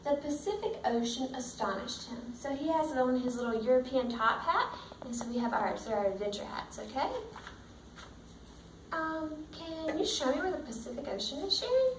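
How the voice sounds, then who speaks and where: Female teacher, storybook read-aloud, higher-pitched voice, roomy sound with faint noise. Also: expressive, normal speed. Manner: checks understanding with simple questions. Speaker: teacher reading a picture book to children. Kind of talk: read-aloud with questions to young pupils. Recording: roomy, distant mic, faint background noise.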